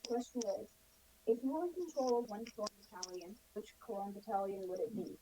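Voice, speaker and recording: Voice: mid-range voice, animated, a little fast. A young listener leaving a polite question as a voicemail. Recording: hissy, noisy voicemail recording.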